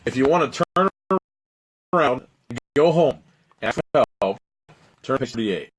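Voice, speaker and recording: A man with a raspy, strained voice, hosting a live stream where he reads an adventure story aloud and chats with viewers. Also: mid-range voice, expressive, a little slow, poor audio. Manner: dramatic narration, then loose rambling chat. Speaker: host reading a choose-your-own-adventure book.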